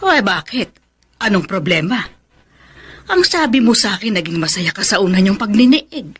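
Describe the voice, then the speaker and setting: A woman's voice: medium pitch, sing-song, normal speed, fairly bright tone, husky. A woman acting a concerned, probing middle-aged mother in a Filipino radio drama.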